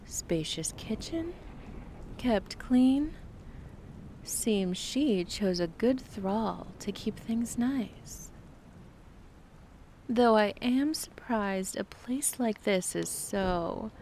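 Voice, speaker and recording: A predatory female vampire villain acted by a woman, haughty and condescending. She drawls extremely slowly in a medium-pitched voice, savoring short phrases with mockery, in an ASMR roleplay with some background noise. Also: a little mellow, low-quality recording.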